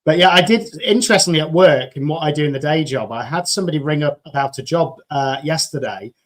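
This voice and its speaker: A man's voice: fairly high pitch, quick pace. A man from England hosting a live video chat, talking in an enthusiastic, chatty way with frequent restarts. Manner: chatty, enthusiastic, repeats words when starting. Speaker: host. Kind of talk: casual chat.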